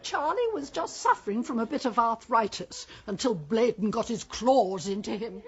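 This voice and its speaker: High, husky, bitter old villager. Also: female voice, lively intonation, moderate pace, dull audio. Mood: bitter, accusing. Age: older. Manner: bitter, accusing. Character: bitter, vengeful older village woman. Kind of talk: radio drama acting.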